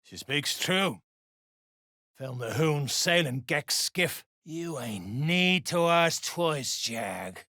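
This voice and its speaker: An actor playing a rough, irritable duergar dwarf fighter in a video game, husky and coarse, speaking in blunt orders. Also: medium pitch, animated, very slow, bright, forceful tone, no echo, studio quality, full character voice. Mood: gruff, wary. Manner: coarse, clipped orders in dialect. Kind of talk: video game character voice acting.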